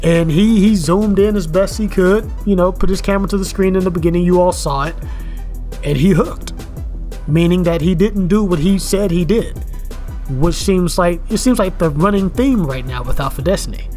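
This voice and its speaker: A man in his thirties rants into a camera at home in a loose, repetitive way, circling back to the same phrases. His voice sits high for a man, and faint music plays quietly underneath. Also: normal speed. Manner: ranting, repeats words and "you know what I'm saying". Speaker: fitness vlogger. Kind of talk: casual commentary rant. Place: indoors at home, in front of a camera.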